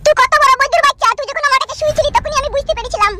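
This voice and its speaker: A woman's voice: very high-pitched, rapid-fire. A woman voicing a scorned, vengeful, foul-mouthed village mistress in a cartoon melodrama. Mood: vengeful, furious. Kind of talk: amateur Bengali cartoon melodrama. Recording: loud music under the voice.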